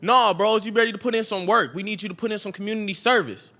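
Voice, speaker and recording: Man acting a loud, high-pitched, cocky gang member. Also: quick pace. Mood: pressuring. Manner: slangy, taunting, loud. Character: cocky, pushy young gang member goading a friend. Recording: piano music playing behind the voice.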